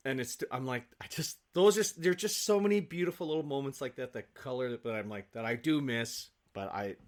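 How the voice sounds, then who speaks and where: A man's voice: medium pitch, brisk. Enthusiastic man chatting as an actor guest. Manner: effusive, animated storytelling with acted-out quotes. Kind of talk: casual podcast conversation.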